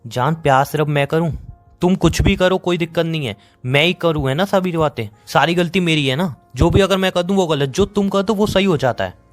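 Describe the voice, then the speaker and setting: A man's voice: a little high, dry recording, soft background music, lightly acted. A man with an Indian accent playing a sarcastic, dismissive young boyfriend in a phone-call romance drama. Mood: resentful. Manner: sarcastic, dismissive, rapid-fire. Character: bitter, sarcastic young boyfriend fed up with his girlfriend.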